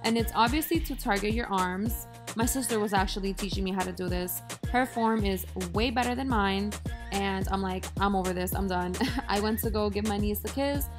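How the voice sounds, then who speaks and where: A young woman talks through her workout in a relaxed, chatty voice-over, cheerfully poking fun at her own form. Her voice sits mid-range, with clear music running underneath. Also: mid-range voice, normal speed. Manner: chatty, self-deprecating, upbeat. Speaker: fitness vlogger. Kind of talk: casual voice-over narration. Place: voice-over, not recorded in the gym.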